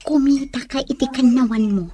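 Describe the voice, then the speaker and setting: A woman's voice: high voice, steady tone, brisk, warm tone, breathy edge. A woman playing a bold, watchful young friend in an audio drama, frank and nosy in manner. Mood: suspicious.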